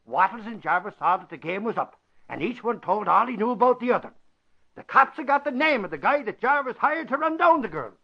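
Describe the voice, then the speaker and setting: Excitable Irish amateur detective, high, swooping delivery full of exclamations, vintage radio mystery. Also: male voice, fairly quick, vocal fry, full character voice. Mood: excited, proud. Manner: folksy, exclamatory. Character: excitable, boastful Irish bodyguard turned amateur sleuth. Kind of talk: 1940s radio acting. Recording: dull lo-fi vintage radio broadcast.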